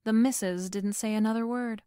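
A woman's voice: a little high, steady tone, a little fast, warm tone, no echo, clean studio sound, close to an everyday voice. A woman playing a breezy, wisecracking female private detective in a noir audio drama, narrating and bantering. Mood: wry narration. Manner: wisecracking, coolly playful.

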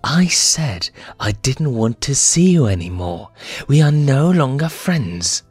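A man's voice: medium pitch, sing-song, slow, breathy edge, dry recording, soft background music, full character voice. A man voicing a feisty young female lion cub in an amateur comic dub, with a scolding, emphatic manner. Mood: annoyed, angry. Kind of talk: amateur comic fandub acting.

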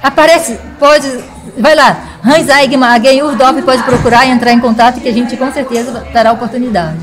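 A woman's voice: sits high, fairly even intonation, normal speed. A middle-aged businesswoman from Rio with a Carioca accent, speaking earnestly as a guest in a small studio. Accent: Carioca Brazilian Portuguese. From Rio de Janeiro, Brazil. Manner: earnest, forthright. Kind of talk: casual interview chat. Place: small studio in front of a logo wall. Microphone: studio mic on boom arm.

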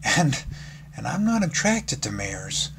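A man voicing a timid, sorrowful young female pegasus pony in a story, soft, shaky, hesitant and apologetic. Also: mid-range voice, sing-song, slow pace, exaggerated voice. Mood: guilty, hesitant. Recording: clearly noisy background.

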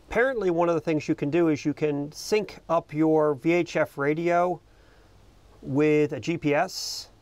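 A man's voice: on the high side, no echo. A middle-aged American man explaining casually and informally, as a vlog host recording outdoors on a small boat. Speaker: boating video host.

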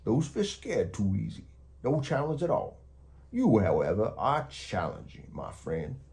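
A man's voice: fairly low pitch, wildly swooping pitch, a little slow, gravelly, a little mellow, heavily acted. Man as a growling, hungry bedside monster. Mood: boastful, relishing. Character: menacing, hungry, affectionate old-hand male under-bed monster.

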